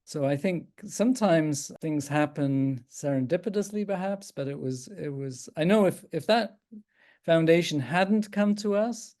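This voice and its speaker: An older man with an Irish accent, a psychiatrist speaking as a guest on a video call, thoughtful and halting with frequent restarts. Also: fairly high pitch, animated. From Ireland. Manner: thoughtful, halting with many restarts and soft laughs. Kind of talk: casual talk on a video call.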